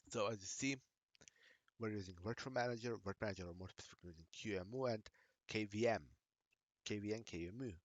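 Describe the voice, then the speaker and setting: A man with a Slovenian accent, his native tongue Slovenian, talking through a live screen-share tutorial in a halting, self-correcting way. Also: on the low side, dry recording. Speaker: tech streamer.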